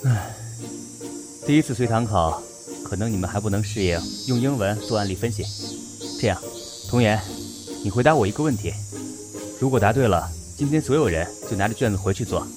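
A man playing a courteous, caring young law lecturer in an audio drama, speaking in a calm, measured way. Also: on the low side, close to an everyday voice. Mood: calm, teacherly. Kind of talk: Mandarin audio drama acting. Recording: music bed under the voice.

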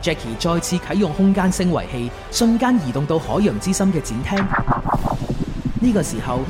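High, animated male drama narrator. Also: normal speed, music in the background. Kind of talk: dramatic scripted story narration.

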